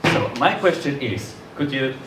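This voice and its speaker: A man moderating a public talk, speaking English haltingly with many restarts and fillers. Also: medium pitch, animated. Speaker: event moderator. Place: lecture hall. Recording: distant mic in an echoey hall with noise.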